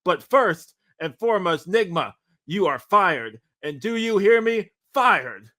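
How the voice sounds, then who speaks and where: An indignant male boss, acted out by a man in a script read. He berates his employee emphatically, piling threat on threat in a raised, loud voice. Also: sits high, expressive, slow pace, pitched above the performer's own voice, heavily acted. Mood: outraged.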